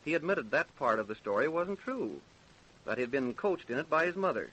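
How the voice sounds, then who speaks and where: A small-town American coroner in an old-time radio drama. His voice sits a little high for a man. He is even-tempered and deliberate, questioning with official, measured care. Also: lo-fi sound, slight background noise. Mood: calm, persuasive.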